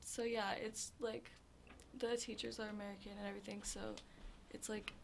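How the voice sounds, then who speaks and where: A teenage girl answers interview questions in a soft, gravelly voice with plenty of vocal fry. She fills her talk with "like" and "um". A faint hiss runs under the recording. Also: medium pitch, flat delivery, moderate pace. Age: teens. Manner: soft-spoken, filler-heavy with frequent "like". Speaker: interview guest. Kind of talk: casual interview.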